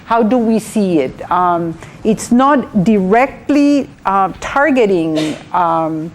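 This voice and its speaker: A woman with a Guatemalan accent giving a training talk as a guest speaker, in a halting way with repeated words. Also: medium pitch, lively intonation. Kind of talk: training presentation to an audience. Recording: clearly noisy live room recording.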